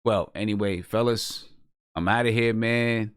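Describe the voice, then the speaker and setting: A man's voice: on the low side, steady tone, a little slow, clean tone, no echo. American man hosting casually, dry close sound. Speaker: host of a hip hop music review show. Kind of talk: casual live show chat.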